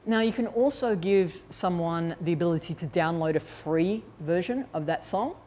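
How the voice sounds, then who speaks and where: A woman's voice: on the low side, normal speed, dark tone, slight background noise. A woman presenting a workshop in an informal, conversational way, explaining and thinking aloud as she demonstrates. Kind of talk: informal instructional talk to attendees.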